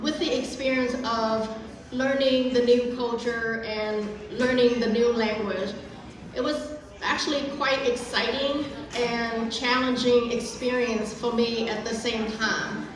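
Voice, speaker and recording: A woman's voice: on the high side, steady tone, slow pace. A middle-aged woman from Vietnam with a Vietnamese accent, giving an earnest, careful prepared speech at a community event with frequent restarts. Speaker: guest speaker. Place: large echoey event hall. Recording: echoey hall, voice from far off.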